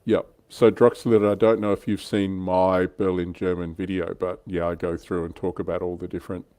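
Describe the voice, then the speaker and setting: Low-voiced middle-aged man hosting casually. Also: flat delivery, moderate pace, warm tone, no room sound. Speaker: livestream host. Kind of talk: casual livestream chat about tabletop wargaming.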